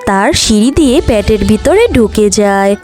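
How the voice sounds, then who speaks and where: A woman's voice: medium pitch, moderate pace, darkish tone, faint music behind. A woman narrating a scripted children's cartoon story.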